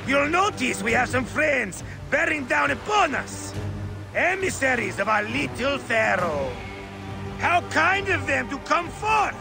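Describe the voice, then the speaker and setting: An actor playing a boisterous, middle-aged Greek warship captain in a video game, grandiose and playful, with a gravelly voice. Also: male voice, very high voice, exaggerated voice. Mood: rousing, mocking. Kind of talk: theatrical video game character acting.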